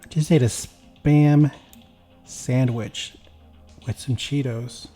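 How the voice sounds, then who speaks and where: Tired man, slightly raspy gameplay chat. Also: medium pitch, slow pace, faint music behind. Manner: rambling, relaxed, talks while playing. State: tired after work. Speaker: gaming YouTuber. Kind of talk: casual gameplay commentary.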